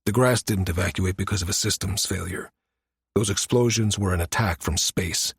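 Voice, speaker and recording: A man voicing a firm male space station security chief in an audiobook, measured and matter-of-fact. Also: sits low, slightly rough, dry recording, full character voice. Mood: grave. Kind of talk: audiobook character dialogue.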